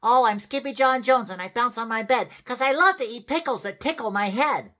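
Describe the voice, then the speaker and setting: Squeaky storybook kitten boy, played by a woman, very high, boastful, hyper, drawn-out theatrical exclamations, swooping pitch. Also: big pitch swings, a bit bright, heavily acted. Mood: gleeful chanting. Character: hyper, boastful little kitten boy playing a Chihuahua hero.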